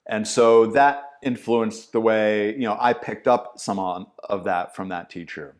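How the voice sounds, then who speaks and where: A man's voice: lowish voice, a little slow. A middle-aged man, an acting teacher, chatting in a podcast interview and acting out what people said in vivid stories. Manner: animated storyteller, acts out quotes with swearing. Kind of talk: casual conversational interview.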